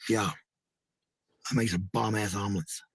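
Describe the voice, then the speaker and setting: A man with a raspy, gravelly voice in casual, rambling chat, blunt and swearing freely. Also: on the low side, fairly even intonation, normal speed, a bit bright, no echo.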